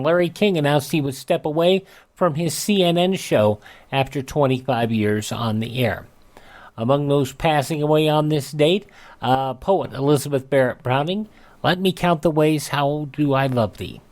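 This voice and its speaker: A man's voice: fairly high pitch, normal speed, a little mellow. A man with an American accent hosting a radio show, reading out historical dates in a scripted almanac style.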